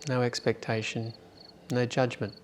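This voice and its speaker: A man leading a guided meditation in an informal, unhurried way with long pauses. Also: medium pitch, little pitch movement, slight background noise.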